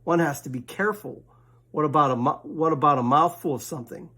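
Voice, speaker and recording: A man's voice: fairly high pitch, brisk, bright, forceful tone. A man voicing a fussy, cautious, polite rabbit in a bedtime storybook, proper and matter-of-fact with a stern edge. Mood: fussy, welcoming.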